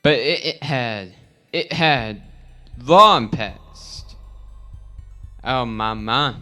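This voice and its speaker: A man voicing an old male farm pig elder in a parody storybook, a solemn visionary with declamatory, drawn-out, slang-heavy speech. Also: medium pitch, expressive, bright, forceful tone, faint music behind, heavily acted. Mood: nostalgic, halting. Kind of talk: parody storybook character reading.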